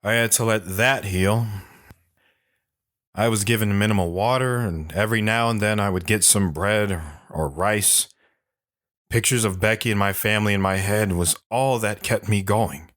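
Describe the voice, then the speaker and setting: An actor playing a bitter, haunted older army sergeant telling his story in a brooding, halting way for an audio drama. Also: on the low side, a bit bright, no echo, studio quality, close to an everyday voice. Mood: weary, bitter. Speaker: dramatic monologue narrator.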